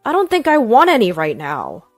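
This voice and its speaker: A woman's voice: a little high, huge pitch swings, moderate pace, no echo, clean studio sound. A woman acts out a callous husband in a narrated family drama. He is brusque and dismissive, shrugging off responsibility, over faint music. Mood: brusque, cold. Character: callous, irresponsible husband, adult man. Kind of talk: acted dialogue in narrated story.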